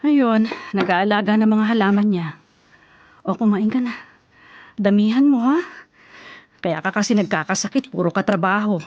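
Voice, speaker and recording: A woman's voice: mid-range voice, normal speed, long pauses, a little mellow, lo-fi sound, lightly acted. An actress playing an older, worried and caring Filipino mother in a radio drama, fussing and nagging at her grown son. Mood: concerned.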